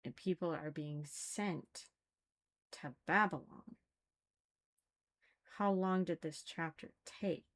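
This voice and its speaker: A woman with a slightly low voice talks very slowly in casual commentary, as if working out her thoughts aloud. She is critical, halting often and repeating phrases to drive them home. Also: a bit bright. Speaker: reader commenting on a religious text.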